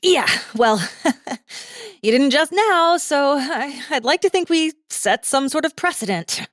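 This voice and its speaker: A woman's voice: sits high, sing-song, slow pace, dry recording, studio quality, voice pushed up, full character voice. A woman voicing a nineteen-year-old college girl, nervous and flippant, with hesitant, rambling, slangy speech.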